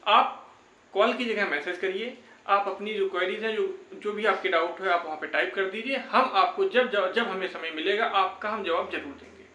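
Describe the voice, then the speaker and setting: High-pitched young man, echoey room. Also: normal speed, bright, forceful tone. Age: young adult. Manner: reassuring, repeats phrases. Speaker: YouTube exam-update presenter. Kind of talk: informal motivational update talk to viewers. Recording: echoey, roomy sound.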